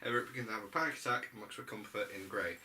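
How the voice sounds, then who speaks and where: A man's voice: on the low side, racing, pushed and bright. A man reading stage directions aloud at an amateur script read-through, sometimes stumbling over the text. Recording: distant mic, faint room noise.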